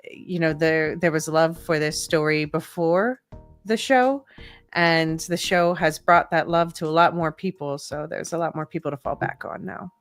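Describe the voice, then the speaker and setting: A woman chatting casually on a fan livestream, rambling with many fillers. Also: sits low. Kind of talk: casual chat.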